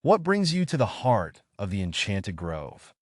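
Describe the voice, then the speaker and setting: A man voicing a wise, kindly old forest guardian in a bedtime story, gentle and solemn in his measured delivery. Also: on the low side, wildly swooping pitch, no room sound, studio quality, exaggerated voice. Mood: gentle welcome. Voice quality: soft, gentle.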